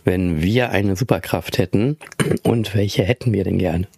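A hoarse man co-hosting a podcast in casual chat, clearing his throat and using many fillers. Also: deep voice, measured pace, mellow, no echo. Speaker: podcast co-host.

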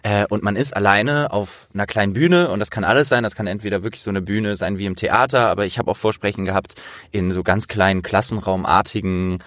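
A man with a fairly low voice hosts a relaxed, conversational interview. He explains things for the listeners and asks questions, in a very dry recording with little room sound. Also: a little fast, dry recording. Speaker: interviewer and host.

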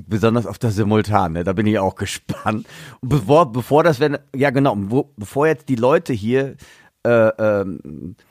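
A man's voice: on the low side, expressive, moderate pace, no echo, clean studio sound. A man hosting a casual podcast interview, chatty and loose, with many fillers and restarts. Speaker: podcast host and drummer.

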